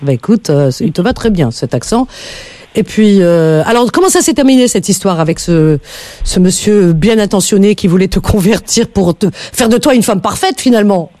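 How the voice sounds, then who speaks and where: A woman's voice: mid-range voice, animated, moderate pace, dark tone, dry recording. Chatty woman hosting late-night radio. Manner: chatty, emphatic, repeats words when worked up. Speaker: radio call-in host.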